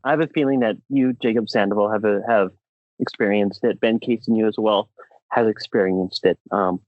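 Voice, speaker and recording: A man's voice: lowish voice, steady tone, normal speed, dry recording, dull audio. A young American man co-hosting a podcast, delivering a comedic rant in an exasperated, emphatic, theatrical manner. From United States. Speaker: podcast co-host.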